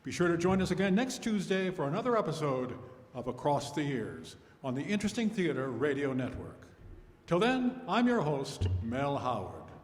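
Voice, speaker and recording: A man's voice: fairly high pitch, sing-song, moderate pace. A man playing a showman host of an old-time radio music program, with a theatrical announcer delivery in a live hall. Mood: showy, upbeat. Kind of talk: 1900s-style radio announcing. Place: large reverberant hall, live stage radio show. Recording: echoey hall, live stage performance.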